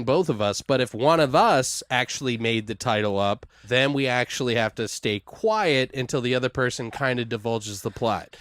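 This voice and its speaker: A man's voice: mid-range voice, a bit bright, no echo. A man hosting a horror podcast in casual, joking, profane banter. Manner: informal, profane, frequent joking. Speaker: podcast host.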